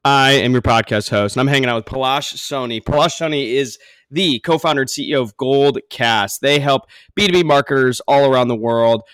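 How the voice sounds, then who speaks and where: A man's voice: mid-range voice, a little fast, a bit bright, smooth, no room sound. A man hosting a podcast interview, enthusiastic and effusive, often repeating and restarting phrases as he talks. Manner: enthusiastic, effusive, repeats words while rephrasing. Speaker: podcast host.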